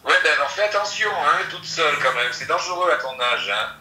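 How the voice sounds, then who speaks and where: A man's voice: on the high side, animated, a little fast, a loud crowd. A man talking casually on a phone call played over a speaker. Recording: phone on speaker, echoey room, hiss.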